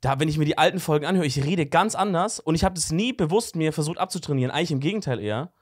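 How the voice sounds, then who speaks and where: Casting note: podcast host, man with faint Swabian accent, slightly high, quick and loose. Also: dry recording, clean studio sound. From Stuttgart, Germany. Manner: relaxed, swears casually. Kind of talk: casual chat. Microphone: handheld mic.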